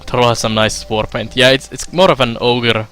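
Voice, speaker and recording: A young man from Finland giving casual let's play commentary, halting with fillers as he reads game text aloud. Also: mid-range voice, fairly even intonation, fairly bright tone, faint music behind. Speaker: streamer.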